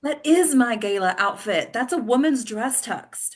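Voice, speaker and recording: Bossy woman aide played by an actress, highish voice, frantic and commanding, stage comedy. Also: expressive, a little slow. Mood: biting. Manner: commanding, exasperated. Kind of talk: comedy script table read.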